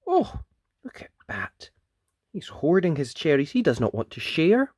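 A woman's voice: deep voice, huge pitch swings, unhurried, a little mellow, slight background noise. A woman hosting a children's read-aloud, questioning young listeners and reacting expressively to the pictures. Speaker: children's story reader, host.

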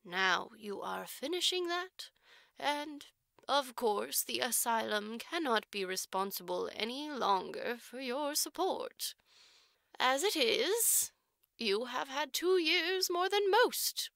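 Woman acting a prim, high-voiced orphanage matron. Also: expressive, gravelly, fairly bright tone, no echo, studio quality, exaggerated voice. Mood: stern, measured. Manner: slow, placid, formal, drawn-out delivery. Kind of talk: audiobook character voice.

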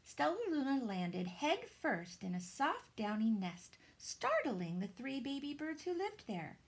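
A woman, reading a children's story aloud in a dramatic, deliberate way. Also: high-pitched, big pitch swings. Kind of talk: expressive children's storybook narration. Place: small indoor room with shelves.

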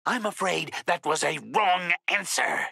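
A man voicing a mocking, gleefully threatening masked slasher killer in a video game, with a harsh, raspy, gravelly voice. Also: sits high, measured pace, bright, forceful tone, no room sound, studio quality, full character voice. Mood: gleeful menace. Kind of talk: video game villain voice lines.

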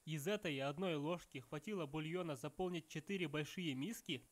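A man's voice: a little high, moderate pace. A man narrating scripted nitpicking commentary with dry sarcasm. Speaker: video narrator.

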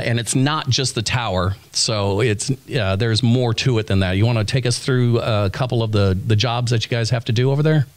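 A middle-aged American man hosting a casual interview, chatty and joking as he rambles along. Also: medium pitch. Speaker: podcast host. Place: small indoor podcast studio. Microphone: close desk microphone.

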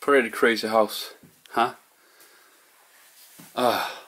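A man narrating quietly to camera as he explores an abandoned house, musing in hushed. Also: mid-range voice, fairly even intonation, very slow, breathy edge. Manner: hushed, musing, non-native English phrasing. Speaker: urban explorer vlogger. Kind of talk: casual narration while exploring.

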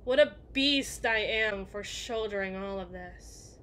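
A woman's voice: mid-range voice, slow, bright, forceful tone, a little hiss or hum. A woman playing a melancholy, guilt-ridden evil queen in an ASMR roleplay monologue, speaking in formal, introspective phrases to someone she loves. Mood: bitter, self-loathing.

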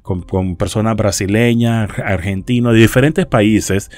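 Chatty man with a low, dry voice. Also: steady tone, a little slow, a little mellow, no room sound. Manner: chatty and friendly, addresses viewers as friends, frequent restarts. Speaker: YouTube host giving rideshare and delivery tips. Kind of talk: casual informative vlog talk over stock footage. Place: lives in South Florida.

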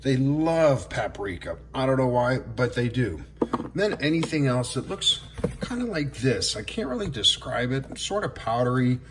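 A man's voice: mid-range voice, very animated, normal speed, roomy sound, background noise. A man in his forties, a pest control business owner, giving plainspoken, friendly how-to tips to camera in a home kitchen. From Florida. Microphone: camera or phone at arm's length.

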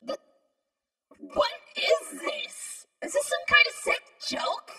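A woman's voice: slow pace, sharp tone. A woman voicing a small, murderous talking flower in a cartoon dub, sarcastic, taunting and theatrical. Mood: baffled, irritated. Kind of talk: comic dub voice acting. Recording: music behind the voice.

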